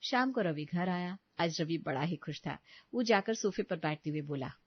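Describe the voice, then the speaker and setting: A woman's voice: lowish voice, animated, normal speed, no echo, lo-fi sound. A woman reading a scripted family story as its narrator.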